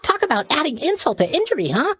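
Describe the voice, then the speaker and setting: A man's voice: extremely high pitch, big pitch swings, fast, pushed and bright, a little hiss or hum, full character voice. A man playing a cheery, oblivious young god of sleep in a video game, chatty and blithely tactless in his mock sympathy. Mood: blithely sympathetic. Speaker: video game character voice actor. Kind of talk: video game character voice lines.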